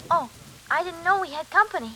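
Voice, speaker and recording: A woman's voice: very high-pitched, lively intonation, brisk, pushed and bright, full character voice. A woman playing an innocent, curious little schoolgirl with a naive, childlike manner in a 1940s radio drama. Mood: chirpy, curious. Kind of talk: 1940s radio suspense acting. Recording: hissy, crackling 1940s radio recording.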